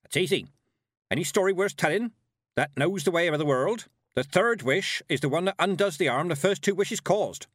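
A knowing old witch from a storybook, plain-spoken and calm performed by a male narrator. She talks with matter-of-fact authority in a slightly raised voice. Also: high voice, normal speed, dry recording, voice pushed up. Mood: calm certainty.

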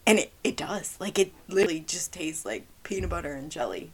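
A woman's voice: on the low side, animated, a little fast, slightly rough. A bubbly woman co-host chats casually, her voice scratchy and worn thin. She giggles often and fills her talk with "like". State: scratchy, partly lost voice. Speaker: podcast co-host. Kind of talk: casual chat.

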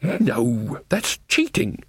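A man's voice: medium pitch, huge pitch swings, drawn-out words, a bit bright, husky, dry recording, studio quality, heavily acted. A man voicing an old village witch woman, earthy and blunt, with folksy dialect grammar, in a storybook reading. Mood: firm, concerned.

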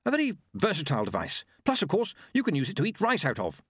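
A man acts out an excitable old monk who invents things, in a storybook reading. The voice is pitched high and swings about eagerly as he proudly demonstrates. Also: fast talker, slightly rough, no echo, clean studio sound, exaggerated voice.

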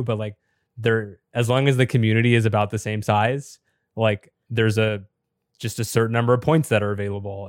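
A slightly raspy, low-voiced man who is a bit sick and losing his voice. He explains at length in a chatty, filler-heavy podcast style. His delivery stays flat, with very little rise and fall. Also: no room sound, clean studio sound. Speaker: podcast co-host and top competitive player.

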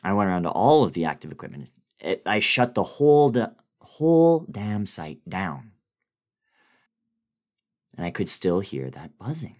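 A man giving a dramatic, suspenseful first-person reading of a horror story, with theatrical pauses. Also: sits low, big pitch swings. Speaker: guest reader of a horror story.

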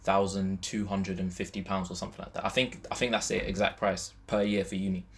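Deep-toned young man, casual. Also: flat delivery, brisk, fairly bright tone. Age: young adult. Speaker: podcast co-host, university student. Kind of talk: casual chat.

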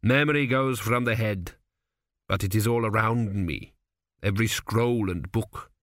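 A man voicing a very old high priest in an audiobook, calm, grave and measured, with quiet authority. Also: no echo, studio quality. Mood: wistful. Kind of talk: audiobook character voice.

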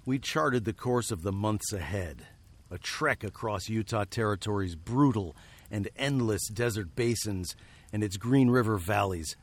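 A man playing a weary, wry nineteenth-century fugitive, telling his tale in a deliberate, literary way for a radio drama. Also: fairly low pitch, close to an everyday voice. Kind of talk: radio drama narration and acting.

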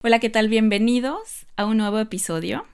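A woman hosting a podcast, reading a scripted introduction and then welcoming her guest in a measured way. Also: a little high, darkish tone, clear, resonant tone. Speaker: podcast host.

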